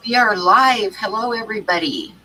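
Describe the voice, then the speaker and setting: A woman's voice: medium pitch, normal speed, bright, forceful tone, slight background noise, low-quality recording. A woman with a stuffy cold hosting an art livestream, chatting casually with many ums and restarts. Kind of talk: casual chat to live chat.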